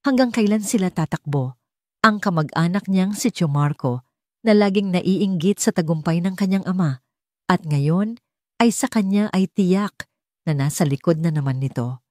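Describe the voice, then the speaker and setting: Low-voiced Filipino woman narrating a story. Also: expressive, normal speed, darkish tone, no room sound, studio quality. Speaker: audiobook narrator. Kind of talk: scripted dramatic story narration.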